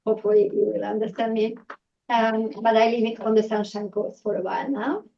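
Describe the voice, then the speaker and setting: A woman with a Hungarian accent and a fairly high voice presents to an audience. She pauses often with fillers and restarts, and her voice sounds dull and lo-fi. She is picked up from some distance away. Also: flat delivery, vocal fry. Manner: hesitant, many fillers and restarts. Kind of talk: public talk to a live audience. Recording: distant, dull lo-fi room recording.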